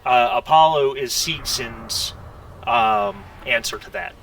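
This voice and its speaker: Bright-voiced male game master, distant mic. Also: fairly high pitch, big pitch swings, vocal fry. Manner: halting, casual, conversational. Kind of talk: explaining a tabletop game scenario. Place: online group voice call. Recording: noisy, distant microphone.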